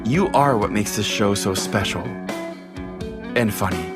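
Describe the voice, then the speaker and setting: A man hosting a podcast, reading a scripted sign-off in a wry, deadpan way. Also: fairly low pitch, a little slow. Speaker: podcast host. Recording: music bed under the voice. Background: plucked guitar music.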